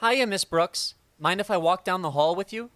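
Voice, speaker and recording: A man's voice: high voice, normal speed, close to an everyday voice. A man playing an eager, friendly teenage schoolboy in old-time radio comedy style, with a boyish manner.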